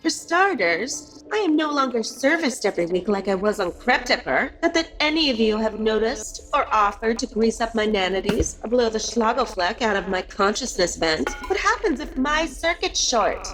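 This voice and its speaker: A woman's voice: a little high, very animated, moderate pace, hardly any pauses, fairly bright tone, soft background music, low-quality recording. A woman playing a pompous, sarcastic alien AI screen that behaves like a sulky teenage diva in a puppet cartoon. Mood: aggrieved. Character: haughty self-dramatizing alien AI screen, acting like a sulky teenager. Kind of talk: animated puppet comedy acting.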